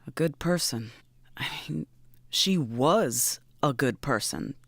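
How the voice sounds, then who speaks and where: A woman's voice: extremely low pitch, animated, slow pace, creaky, no echo, heavily acted. An actress playing a blunt, wry, brooding woman who swears and muses in naturalistic audio drama dialogue. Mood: wistful, sombre.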